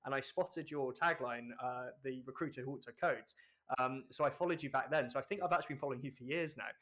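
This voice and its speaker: Casting: male host, London accent, bright forward tone, stop-start and friendly. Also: mid-range voice, fast. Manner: hesitant, many restarts and "um"s. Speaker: podcast host. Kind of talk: casual interview chat.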